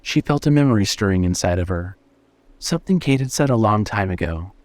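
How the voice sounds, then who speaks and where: A man narrating a scripted horror story in a measured, suspenseful way. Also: on the low side, animated, a little mellow, no room sound.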